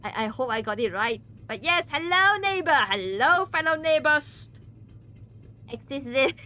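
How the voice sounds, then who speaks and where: Giggly, slow-talking woman streamer. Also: high-pitched, lively intonation, bright, forceful tone. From Malaysia. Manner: giggly, stumbles and repeats words. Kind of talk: casual chat with viewers during a game stream.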